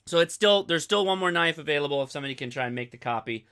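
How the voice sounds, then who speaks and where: Bright-voiced American man chatting on a livestream. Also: a little high, lively intonation, very fast, clear, resonant tone. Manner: rambling, digressive, chatty. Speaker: host of a ham radio live stream. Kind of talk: casual livestream chat.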